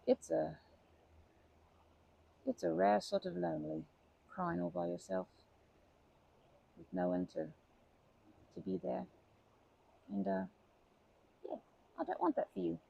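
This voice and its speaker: A woman voicing a kindly, chatty old grandma who rambles gently in a folksy, soothing way for an ASMR comfort roleplay. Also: lowish voice, a little hiss or hum, exaggerated voice, poor audio. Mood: tender, soothing. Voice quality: creaky, breathy.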